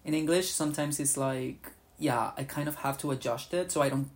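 A woman's voice: a bass voice, fairly quick. A woman from Colombia whose first language is Spanish, chatting as a podcast guest and searching for words with many restarts. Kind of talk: casual conversation.

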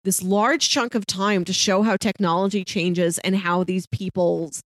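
A woman speaks as a podcast co-host, recommending things in a casual, conversational style. She is earnest and enthusiastic, building points in emphatic lists. Her pitch is average and the sound is dry and close. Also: steady tone, unhurried, dry recording. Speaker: podcast co-host reviewing shows.

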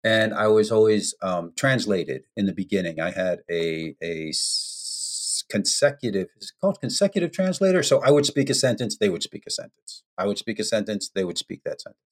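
An American man, a podcast guest, telling stories in an unhurried, anecdotal way with frequent ums. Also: on the low side, sing-song. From United States. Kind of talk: storytelling in a podcast interview.

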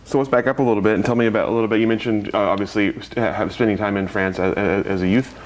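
A man's voice: on the low side, steady tone, quick pace, slight background noise. A man interviewing a guest for an oral history, asking brief questions with a few stumbles.

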